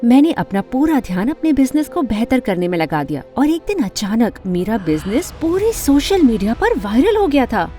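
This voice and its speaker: An earnest teenage girl narrating an animated drama. Her voice is high and soft-edged, with very lively swings in pitch. She is expressive and emotional, with music under the voice. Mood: confiding. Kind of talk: first-person animated story narration and dialogue.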